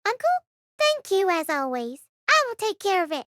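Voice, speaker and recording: A man's voice: extremely high pitch, huge pitch swings, normal speed, pushed and bright, no echo, studio quality, voice pushed up, full character voice. A man voicing a cute, polite young girl in an anime-like audiobook style. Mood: polite, pleased.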